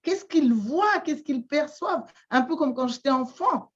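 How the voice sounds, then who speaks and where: Slow-spoken woman from Madagascar. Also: sits high, animated, lo-fi sound. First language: Malagasy. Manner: slow, halting, many fillers and repeated words. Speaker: guest, shamanic therapist. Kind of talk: interview answers.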